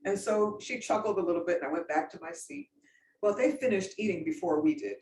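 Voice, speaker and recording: A woman's voice: mid-range voice, expressive, fairly quick, a little mellow. A woman pastor on a panel, telling a story in an earnest, reflective way. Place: event room. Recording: roomy distant sound with faint noise.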